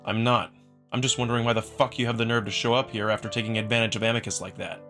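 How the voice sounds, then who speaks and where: A man's voice: medium pitch, steady tone, fast talker, a bit bright, soft background music, close to an everyday voice. A man voicing a defiant, sarcastic human man in a visual novel, with blunt, curt delivery. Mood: suspicious, angry. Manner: blunt, curt, casual swearing. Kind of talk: visual novel dramatic reading.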